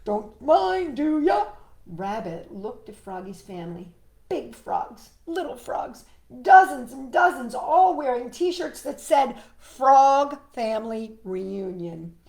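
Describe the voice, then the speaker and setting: A woman reads a picture book aloud as a storytime host. Her mellow voice moves widely in pitch as she addresses young listeners, and the room sounds echoey. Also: big pitch swings, slow, gravelly. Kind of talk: animated children's picture-book read-aloud with asides.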